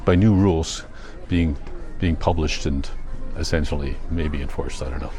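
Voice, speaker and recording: An older man with a Canadian accent, chatting casually in a rambling vlog, thinking aloud in a halting, self-correcting way. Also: a bass voice, music in the background. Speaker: vlogger.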